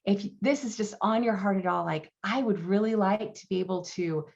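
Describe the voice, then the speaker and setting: A woman's voice: mid-range voice, normal speed, gravelly, a little mellow, dull audio. A woman in her thirties hosting a live online Q and A, upbeat and encouraging, with plenty of ums and likes. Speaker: language program host. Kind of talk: live webinar Q and A.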